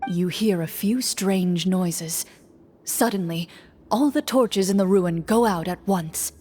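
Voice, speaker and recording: A woman voicing a composed game master in an anime-style game, narrating in a measured, formal, dramatic way. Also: fairly low pitch, mellow, husky. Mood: solemn, measured.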